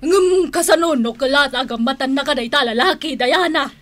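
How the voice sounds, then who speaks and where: A woman's voice: high-pitched, moderate pace, a bit bright. A woman playing a feisty, suspicious aunt, blunt and menacing, in an Ilocano radio drama with an Ilocano accent. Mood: suspicious, grudging. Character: feisty, suspicious aunt threatening intruder with a shotgun.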